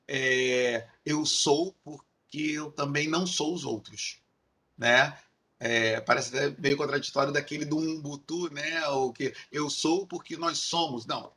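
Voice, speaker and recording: A university lecturer with a Carioca accent, explaining concepts in a recorded lecture, building points through repeated lists and checking in with "né?". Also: male voice, a little high, moderate pace, bright, forceful tone, husky. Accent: Carioca Portuguese. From Rio de Janeiro, Brazil. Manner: explanatory, repetitive rhetorical lists with frequent "né?".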